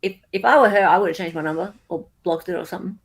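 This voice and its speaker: A woman with a slightly low voice co-hosts a live talk show. She speaks in casual panel chat, loose and conversational. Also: fairly even intonation, racing, vocal fry, breathy edge.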